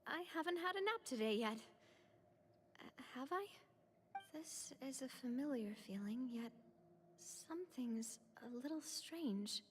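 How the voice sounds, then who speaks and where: High-voiced earnest young lady. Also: lively intonation, a little slow, a little hiss or hum. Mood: dazed, hesitant. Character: composed, earnest young woman, resolute but vulnerable. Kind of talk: video game voice acting. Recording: game music behind the voice.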